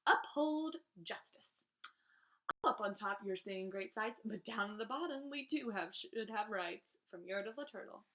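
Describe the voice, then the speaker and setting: A woman's voice: medium pitch, animated, a little slow, bright, forceful tone. A woman reading rhyming children's verse aloud in a theatrical, emphatic teacher's manner, drawing out key phrases.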